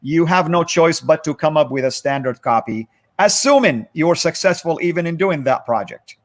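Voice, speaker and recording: A man hosting a moderated religious discussion, speaking in halting, deliberate phrases. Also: fairly high pitch, animated. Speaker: livestream host.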